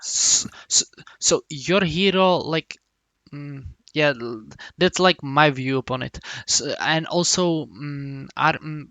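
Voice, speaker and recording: Gravelly-voiced man coaching. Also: a little high, pushed and bright, no room sound. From Czech Republic. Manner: halting, many restarts and hums while explaining. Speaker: game coach. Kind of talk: casual coaching talk.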